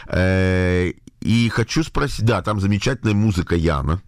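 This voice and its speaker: A man interviewing a guest, asking questions slowly with many fillers. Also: deep voice, no room sound, clean studio sound. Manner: measured, halting, many fillers.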